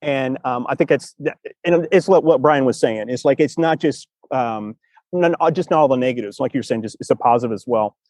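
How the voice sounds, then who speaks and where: A man hosting a casual podcast interview in an informal, expressive, rambling way. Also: medium pitch, a little fast, no echo. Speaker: podcast host.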